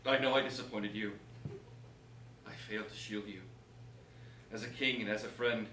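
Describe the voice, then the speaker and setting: A man's voice: lowish voice, fairly even intonation, moderate pace, bright, forceful tone. A man playing a battered, devoted guardsman who pleads solemnly and earnestly in a staged verse reading. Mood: pleading. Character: Michael, battered loyal guardsman, emotional and devoted. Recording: echoey room, distant mic, clear noise.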